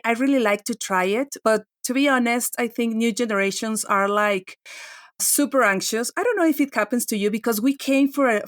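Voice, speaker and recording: A woman's voice: mid-range voice, fairly bright tone, no room sound. Chatty woman in her forties, dry mic. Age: 40s. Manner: chatty, many restarts and fillers like "like". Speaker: guest tech journalist. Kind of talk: casual podcast chat.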